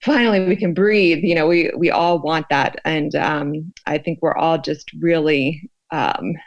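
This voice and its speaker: Female American expert guest, conversational and reflective, lower register, slightly dull recording. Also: unhurried. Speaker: expert guest, sociologist researching fear. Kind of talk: conversational interview.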